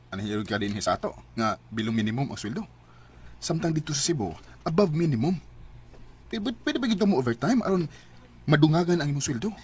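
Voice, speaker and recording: An actor playing a breezy, persuasive construction-worker pal in a Bisaya radio drama, with a Filipino accent. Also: male voice, a little high, sing-song, a little fast. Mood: persuasive, upbeat. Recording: clearly noisy drama recording.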